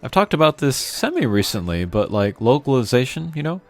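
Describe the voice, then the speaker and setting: A man's voice: medium pitch, big pitch swings, brisk, faint music behind. A man giving casual, rambling, reflective commentary on a video game.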